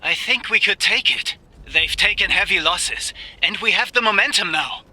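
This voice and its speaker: A vengeful war commander in a game cutscene. The voice is high for a man and piercingly forceful, giving orders with impassioned authority. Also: normal speed, heavily acted. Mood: determined, commanding. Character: ruthless, vengeful German military commander of noble rank.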